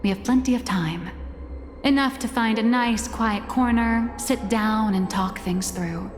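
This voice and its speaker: A woman's voice: on the high side, unhurried, big-room sound, a little hiss or hum, close to an everyday voice. A woman playing an easygoing, teasing female confidante in a video game, speaking casually and unhurriedly. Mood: wry, relaxed.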